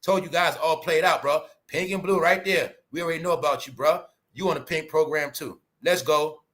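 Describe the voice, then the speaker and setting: A man's voice: fairly high pitch, normal speed, a bit bright. A man from Brooklyn, ranting profanely on a livestream and calling out to his audience. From Brooklyn, New York. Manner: heated and profane, keeps addressing the audience with "y'all" and "bro". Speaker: livestream host.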